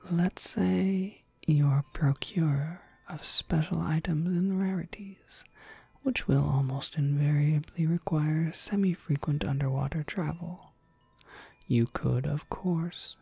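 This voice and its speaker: A woman playing a gentle, courteous witch shopkeeper in an ASMR roleplay, speaking in an unhurried, breathy whisper. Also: sits low, no echo, exaggerated voice. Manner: unhurried, polite, softly explanatory. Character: gentle witch shopkeeper, courteous, quietly proud of her craft.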